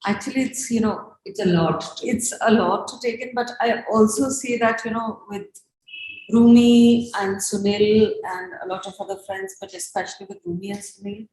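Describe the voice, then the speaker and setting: A woman's voice: medium pitch, normal speed, darkish tone. A woman sharing unscripted reflections from the audience. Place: reverberant indoor event space. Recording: echoey room.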